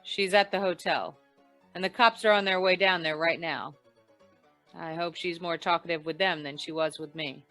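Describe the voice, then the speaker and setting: A woman playing a tough, wry female insurance investigator in an old-time radio noir, clipped, deadpan and probing. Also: lowish voice, moderate pace, faint music behind. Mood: dry, matter-of-fact.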